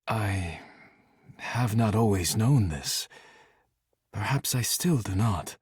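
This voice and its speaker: A man voicing a serious, proud, formal dish character in a dating sim game, speaking in measured, precise and reserved phrases. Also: fairly low pitch, darkish tone, slightly rough, no echo, clean studio sound. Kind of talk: scripted character dialogue.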